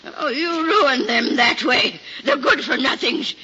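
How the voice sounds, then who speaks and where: A scolding old peddler woman in a vintage radio play. She grumbles and fusses in a high, unhurried voice on a muffled old recording. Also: expressive, noisy background. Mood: grudging, grumbling. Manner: fussy, grumbling, muttering. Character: cranky, scolding old apple-seller woman. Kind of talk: 1940s radio drama acting.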